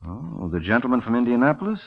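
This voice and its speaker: An actor playing a cool, wry private detective in an old radio drama, asking clipped, sardonic questions. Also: male voice, medium pitch, big pitch swings, quick pace, vocal fry, big-room sound. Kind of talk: 1940s-50s radio detective acting. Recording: muffled, noisy old radio recording.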